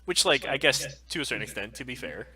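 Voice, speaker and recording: A man's voice: medium pitch, very animated, fast, a crowd in the background. A man co-hosting a podcast live stream, chatting casually and bluntly with opinions and plenty of swearing.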